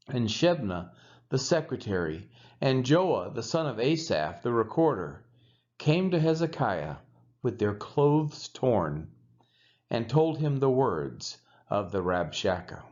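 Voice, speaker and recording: A man reads scripture aloud for a church with measured, expressive delivery. His pitch moves a lot from phrase to phrase. The recording sounds dull and lo-fi. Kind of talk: scripted Bible reading.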